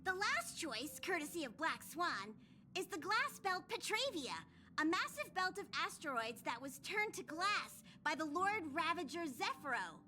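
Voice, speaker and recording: A woman's voice: extremely high pitch, big pitch swings, normal speed, sharp tone, faint music behind, heavily acted. A woman voicing a small, cute, earnest train conductor creature in a video game, formal and announcing. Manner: speaks of itself in third person, formal and announcing.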